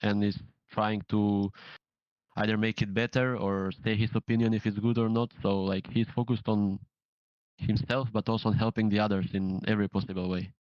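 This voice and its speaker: A low-pitched man speaks in an even, level tone with little rise or fall. He answers in a measured way, often stopping to search for the right words. The sound is dry and dull, like a lo-fi recording. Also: no echo. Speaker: professional gamer, in-game leader. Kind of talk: casual interview answers.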